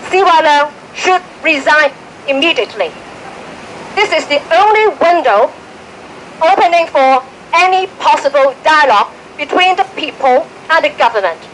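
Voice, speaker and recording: A high-pitched woman gives a formal, measured statement point by point. Faint street noise sits behind her voice. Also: animated, a bit bright, poor audio. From Hong Kong. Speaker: press conference spokesperson. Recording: outdoor press event with faint street noise.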